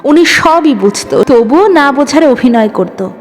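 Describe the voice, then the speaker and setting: A woman's voice: sits high, animated, a little slow. A woman voicing a long-suffering young wife in an audio story, pleading and reproachful. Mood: hurt. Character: long-suffering young wife, hurt, protective of her father. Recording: long reverb, music underneath.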